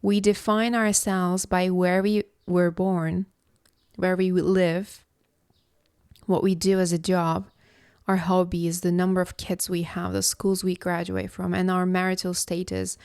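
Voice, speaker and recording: A woman from Istanbul, hosting a reflective solo podcast as a philosophy coach, speaking in a slow, contemplative, measured way. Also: lowish voice, little pitch movement, darkish tone, smooth, no room sound, studio quality. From Istanbul, Turkey.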